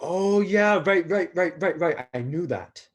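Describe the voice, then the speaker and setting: A man plays an awkward young god in a comic stage play. He speaks slowly and haltingly with very lively swings in pitch, stressed, touchy and hopelessly lovestruck. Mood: giddy.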